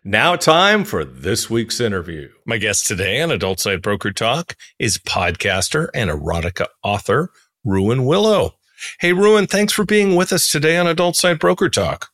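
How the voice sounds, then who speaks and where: A man's voice: mid-range voice, very animated, normal speed, a bit bright. A man hosting a podcast, reading scripted promos and introductions and putting questions to a guest. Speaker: podcast host.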